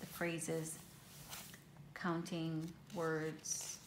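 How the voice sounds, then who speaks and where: A woman whose first language is Hebrew, casually showing a handmade journal with a relaxed, rambling manner full of ums and restarts. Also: low voice, extremely slow. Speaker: craft vlogger. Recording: echoey room with faint hiss.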